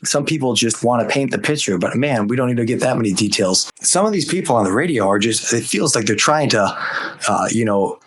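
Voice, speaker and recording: A man's voice: mid-range voice, animated, fairly quick, breathy edge. A man hosting an opinion podcast, ranting casually in an exasperated, sarcastic way. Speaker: podcast host.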